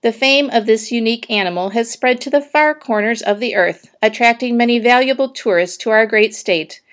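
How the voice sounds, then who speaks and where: Brief: woman reading pompous booming male fair announcer, storybook. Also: normal speed, close to an everyday voice.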